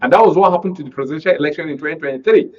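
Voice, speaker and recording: A man with a Nigerian accent commenting on politics as a panel guest, deliberately, in short broken phrases. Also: a little high, darkish tone. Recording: dull lo-fi broadcast audio.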